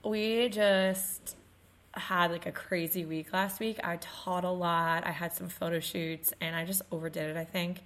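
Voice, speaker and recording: A woman in her twenties co-hosting a podcast in casual chat, her voice still a little raspy after losing it, telling stories with laughs. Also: lowish voice, fairly even intonation, unhurried, a bit bright. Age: 20s. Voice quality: slightly raspy. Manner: chatty, laughing storyteller. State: recovering from lost voice. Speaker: podcast co-host.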